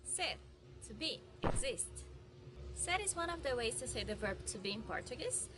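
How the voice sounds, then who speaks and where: A young woman from Brazil presents a verb lesson with a high voice. She explains each word in a friendly, clear way. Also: big pitch swings, measured pace. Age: 20s. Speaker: language teacher.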